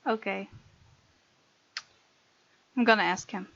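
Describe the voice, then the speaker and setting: A woman's voice: medium pitch, fairly even intonation, takes it slowly, vocal fry, fairly bright tone, no room sound. A woman hosting a gaming video, commenting as she plays and reads a visual novel aloud. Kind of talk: let's play commentary and dramatic reading aloud. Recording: muffled lo-fi mic, music underneath. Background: game music.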